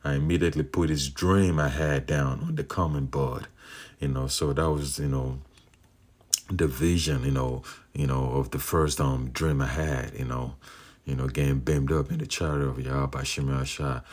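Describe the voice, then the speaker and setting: Very deep-voiced man recounting dreams. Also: fairly even intonation, darkish tone. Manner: earnest, many fillers, slow drawn-out phrasing. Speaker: believer sharing dream visions. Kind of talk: rambling personal testimony.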